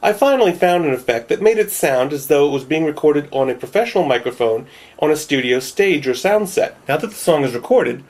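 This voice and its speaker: A man's voice: a little high, expressive, fairly quick, darkish tone. Nasal male narrator, wry and self-deprecating, for a home-made tutorial voice-over. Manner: wry, joking. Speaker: video creator.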